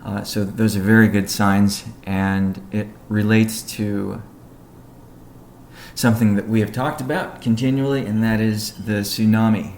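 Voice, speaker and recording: A man giving a business briefing on a conference call as a company executive, in a measured, halting way with technical jargon. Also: fairly low pitch, little pitch movement, a little mellow. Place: conference call, echoey room. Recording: echoey room, faint background noise.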